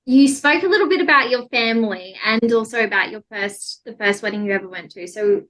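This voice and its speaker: A woman's voice: fairly high pitch, a little fast, a bit bright, poor audio. A woman with an Australian accent, speaking as a podcast host in a friendly interview style. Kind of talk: friendly interview with a scripted introduction.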